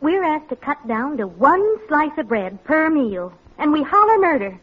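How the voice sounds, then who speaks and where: A reproachful housewife from an old radio show. Her high voice moves with great expression as she lectures earnestly and firmly. The sound is muffled. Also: sing-song, normal speed. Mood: reproachful, grave. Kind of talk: 1940s American radio sitcom dramatic acting.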